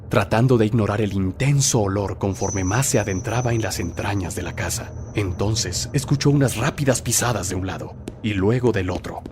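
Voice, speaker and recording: A man's voice: on the low side, background noise. A man narrating a scripted horror story in a theatrical, suspenseful storytelling manner.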